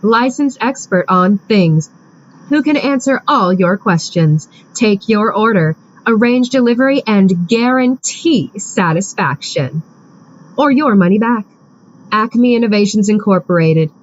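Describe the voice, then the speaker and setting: A woman playing a salesy radio pitchwoman. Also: expressive, measured pace, dull audio, slight background noise, close to an everyday voice. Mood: selling. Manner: salesy, upbeat. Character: brisk female commercial pitchwoman. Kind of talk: radio commercial.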